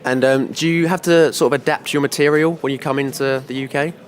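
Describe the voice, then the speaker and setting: Fast-talking man interviewer. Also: mid-range voice, steady tone. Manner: quick questions with many ums. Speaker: radio interviewer. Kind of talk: casual interview questions.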